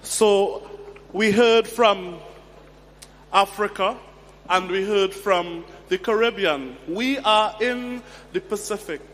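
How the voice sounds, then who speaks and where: Male moderator, high voice, slow prompting delivery, hall reverb. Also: a bit bright. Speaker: session chair. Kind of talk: moderating a youth panel. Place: large conference hall.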